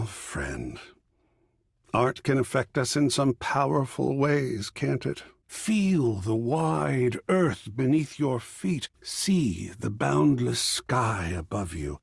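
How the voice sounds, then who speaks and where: An actor playing an effusive, gentle, philosophical male art curator in a video game, savoring refined phrases with dramatic, musing pauses. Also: medium pitch, vocal fry, husky, no room sound, clean studio sound, heavily acted. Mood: soothing, tender. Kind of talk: theatrical video game character dialogue.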